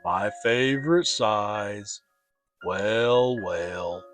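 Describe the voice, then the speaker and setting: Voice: sits low, voice pushed down. Storybook donkey, played by a man: mournful, resigned temper, heavy dragging delivery, faint music underneath. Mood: sad, resigned. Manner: slow, drawn-out, gloomy delivery. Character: gloomy old grey donkey, slow and mournful.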